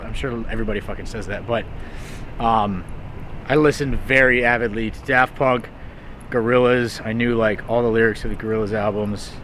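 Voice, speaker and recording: A man's voice: mid-range voice, fairly bright tone, slight background noise. A young man from Texas, a music artist being interviewed, talking in a rambling, hesitant way full of fillers. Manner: rambling, hesitant, heavy use of "like". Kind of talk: casual interview talk.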